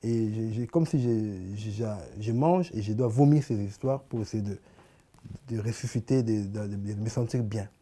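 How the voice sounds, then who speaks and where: A man in his forties with a Congolese French accent, answering interview questions in a living room, often stopping and repeating words as he searches for them. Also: on the low side. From Congo (Kinshasa). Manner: halting, many repeated words and restarts, animated hand gestures. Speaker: artist guest.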